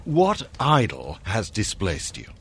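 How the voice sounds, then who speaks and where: An actor playing a proud, defensive young man hungry for wealth, husky and agitated, in a radio drama. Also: fairly low pitch, huge pitch swings, takes it slowly, heavily acted.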